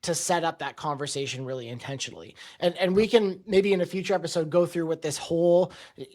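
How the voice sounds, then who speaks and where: A man's voice: a little high, fast talker, a little mellow. A man hosting a podcast, explaining ideas thoughtfully and often checking in with his co-host. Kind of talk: conversational podcast talk.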